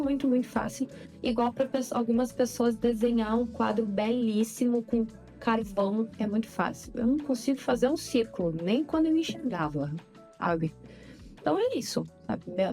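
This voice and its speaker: Animated woman, mellow voice. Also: a little high. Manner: animated, talks fast with many repeats. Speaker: podcast guest. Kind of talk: casual interview conversation.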